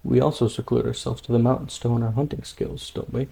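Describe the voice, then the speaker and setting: Guy as young tribesman in manga dub, calm n stubborn, earnest, soft-edged tone with some creak. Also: medium pitch. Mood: intrigued, calm. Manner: earnest, measured explaining. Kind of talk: dramatic manga dub acting.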